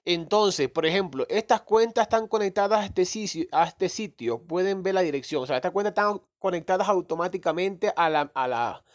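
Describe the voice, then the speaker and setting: A man's voice: high voice, fairly quick, hardly any pauses. A man casually talking through a phone screen recording, rambling and correcting himself as he explains a crypto game. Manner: rambling, self-correcting, mild swearing. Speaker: crypto gaming youtuber.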